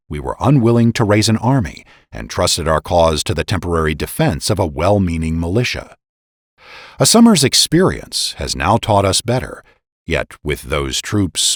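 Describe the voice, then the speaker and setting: A man's voice: fairly low pitch, no echo, studio quality. A man giving a dramatic reading of a historical pamphlet as formal oratory, with emphatic, rhetorical delivery.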